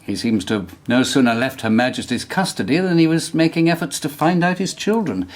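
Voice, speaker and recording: An actor playing a brisk, commanding Victorian police inspector in a radio drama, dry in manner. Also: male voice, mid-range voice, lightly acted. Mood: measured.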